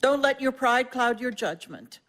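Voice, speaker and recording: An actress playing an imperious, sharp-tongued, ailing middle-aged mother, commanding and judgmental, in an audio drama. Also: sits high, expressive, a little slow. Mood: cutting. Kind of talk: naturalistic audio drama acting.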